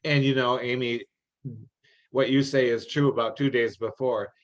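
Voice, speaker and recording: A man's voice: medium pitch, steady tone, normal speed. Man on a dull, lo-fi meeting call. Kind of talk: informal online meeting talk. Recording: dull, lo-fi online call audio.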